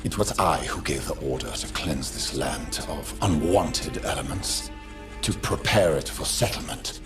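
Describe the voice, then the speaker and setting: A man's voice: rumbling low, animated, unhurried. A man playing a cold, smug grand chancellor and army commander in a video game, speaking formally with condescending menace. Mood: cold disdain. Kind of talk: dramatic video game acting. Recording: echoey, with music behind.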